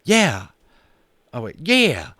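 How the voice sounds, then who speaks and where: A man voicing a boastful, rowdy old cowboy in an audiobook, with a loud, drawling twang. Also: fairly high pitch, wildly swooping pitch, extremely slow, no echo, clean studio sound, voice pushed up, full character voice. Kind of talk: audiobook character voice.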